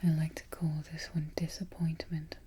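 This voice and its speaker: A woman playing a haughty evil queen in a soft-spoken ASMR roleplay, breathy and whispery, giving petty orders with imperious, mock-sweet deliberation. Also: low-pitched, flat delivery, normal speed. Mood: haughty, self-satisfied. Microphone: close ASMR microphone.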